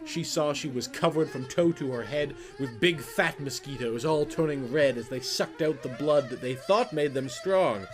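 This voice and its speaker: A man's voice: mid-range voice, moderate pace, hardly any pauses. Guy reading a spooky kids rhyme, dramatic and rhythmic, music playing behind him. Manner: theatrical, rhythmic, emphatic. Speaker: storyteller. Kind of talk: dramatic scripted narration of rhyming children's poem.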